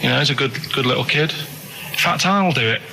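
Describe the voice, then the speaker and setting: A man's voice: mid-range voice, brisk, gravelly, natural voice. A man playing a casual, evasive fellow in a hesitant, offhand way, in a film-scene parody. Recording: noisy radio clip with ambience.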